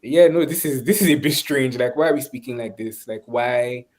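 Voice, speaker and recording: A Nigerian man speaking reflectively and conversationally in an online webinar, often pausing and restarting as he searches for words. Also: medium pitch, a little mellow. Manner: thoughtful, halting, searching for words. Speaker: webinar speaker, course instructor.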